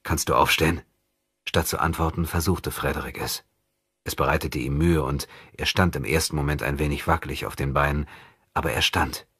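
Very deep, husky male narrator. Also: steady tone, a little fast, fairly bright tone, dry recording, clean studio sound. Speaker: audiobook narrator. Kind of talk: scripted dramatic literary narration.

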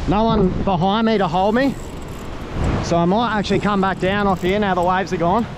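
Excited angler on windy sea rocks. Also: male voice, high voice, moderate pace. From Australia. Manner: excited running commentary, bursts of whoops. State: straining while fighting a fish. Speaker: fishing vlogger. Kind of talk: live commentary while fishing. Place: outdoor sea rocks by crashing surf. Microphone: head-mounted action camera. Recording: wind and surf noise on camera mic.

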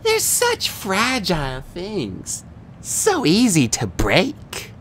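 A narrator voicing a cruel female monster cheerleader who taunts slowly and sweetly. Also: mid-range voice, wildly swooping pitch, fairly bright tone, slight background noise, pitched above the performer's own voice, heavily acted. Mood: taunting. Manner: slow, taunting.

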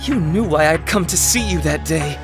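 A woman playing a gentle, sorrowful young prince in a video game, speaking earnestly and formally. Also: deep voice, expressive, normal speed. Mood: cold sorrow. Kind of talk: dramatic video game voice acting. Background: loud music.

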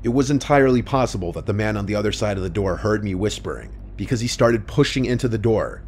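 A man reading first-person horror stories in a scripted narration, with a low, hushed storytelling delivery. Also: expressive, quick pace, slight background noise. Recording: soft music underneath.

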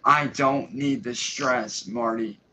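A man's voice: mid-range voice, slow, pushed and bright. A man on a livestream ranting angrily, with heavy profanity and threatening emphasis. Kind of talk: angry livestream rant. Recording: dull lo-fi stream audio with faint hiss.

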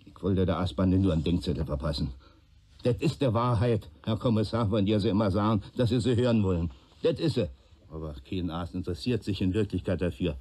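Radio play arsonist peddler: low, mellow, talkative, aggrieved, wryly justifying himself in colloquial rambles. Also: male voice, brisk. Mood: bitter.

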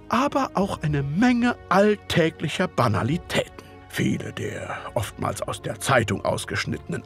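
A genteel Victorian gentleman narrates an audio drama in a slightly high, animated voice. He is formal and wordy, pausing politely over his phrasing, with soft music behind him. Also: vocal fry, full character voice. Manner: formal, measured, hesitating politely.

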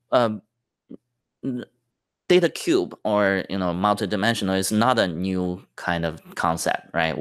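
A man's voice: deep voice, clear, resonant tone, no room sound, clean studio sound. A man giving a technical conference talk over slides, explaining step by step with many fillers and restarts. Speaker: tech presenter.

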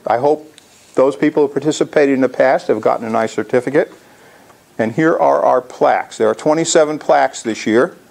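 A man's voice: on the high side, creaky, a little mellow. An American man in his sixties explaining things casually as he presents slides to a club in a lecture hall. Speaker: contest organizer presenting to a club.

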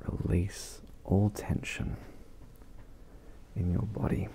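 Male meditation guide for ASMR, extremely low with gravelly fry, soft and soothing, leaving long pauses. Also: level tone, a little hiss or hum, poor audio.

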